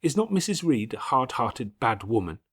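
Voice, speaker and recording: A man's voice: mid-range voice, big pitch swings, normal speed, a bit bright, slightly rough, voice pushed up. A man voicing a passionate, defiant young girl in an audiobook, speaking urgently and emphatically in formal Victorian diction. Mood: bitter. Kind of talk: audiobook character acting.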